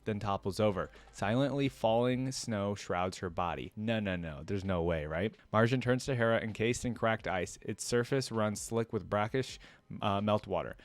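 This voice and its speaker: A man hosting a reaction video, reading a story aloud and breaking in with informal, exclaiming commentary. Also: on the low side. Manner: informal, exclaiming, interjecting his own commentary.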